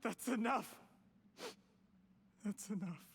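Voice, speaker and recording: Ambitious young schemer, reverberant. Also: male voice, sits high, takes it slowly, vocal fry, a bit bright, heavily acted. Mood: anguished, angry. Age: young adult. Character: ambitious young schemer, defensive and self-justifying. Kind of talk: video game voice acting. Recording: long reverb, faint noise.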